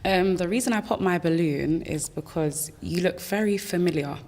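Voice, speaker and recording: Hesitant young woman, lowish voice. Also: moderate pace, a little mellow, slight background noise. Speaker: dating show contestant.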